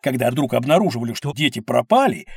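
A man playing a kindly, knowledgeable uncle who explains things to children in an expressive educational audio drama. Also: medium pitch, fast, vocal fry, husky, no room sound, studio quality, heavily acted. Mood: explanatory, kindly.

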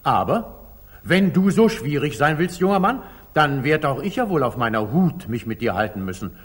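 An actor playing a magnanimous older sultan in a 1940s German radio drama, speaking stage verse in a stately, declamatory way. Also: male voice, on the high side, sing-song, normal speed, husky. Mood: gently chiding. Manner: stately, declamatory, swinging from soft to emphatic. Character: magnanimous older sultan, commanding yet indulgent, ruler of Jerusalem. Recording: slightly muffled 1940s radio studio recording.

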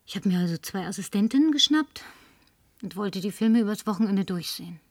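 An actress in a German radio play playing a flirtatious, tipsy, insistent career woman with coquettish, languid phrasing and breathy murmurs. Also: mid-range voice, expressive, brisk, dry recording. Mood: confiding.

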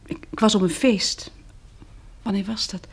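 An actress playing a shaken but plucky, well-off young woman, a survivor of disaster, in a Dutch radio drama, with a slightly breathy voice. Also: mid-range voice, fairly even intonation, a little slow, slight background noise, close to an everyday voice. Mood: weary, confiding.

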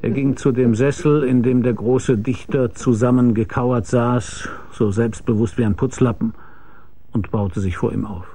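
A man's voice: medium pitch, fairly even intonation, unhurried, warm tone, slightly rough. An actor narrating as a laconic detective, telling his own case with dry wit in a radio drama. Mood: wry. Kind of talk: scripted first-person narration in radio drama.

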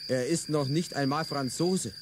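A man's voice: fairly high pitch, moderate pace, noisy background, natural voice. A man playing a weary, resentful French agent in a radio drama, grumbling bitterly. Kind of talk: radio play acting.

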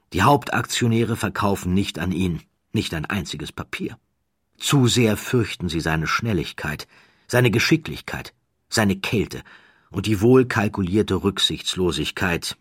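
A man reading a scripted documentary narration in a measured, deliberate way with dramatic emphasis. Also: low-pitched, fairly bright tone, husky, no echo, clean studio sound.

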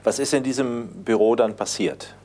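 Calm male documentary narrator. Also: mid-range voice, moderate pace. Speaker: narrator and interviewer. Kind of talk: documentary narration and interview questions.

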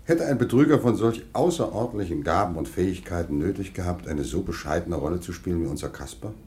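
An actor playing a genial, conciliatory town mayor in a radio play. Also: male voice, low voice, expressive, quick pace, a little mellow, slight background noise. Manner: conciliatory, persuasive.

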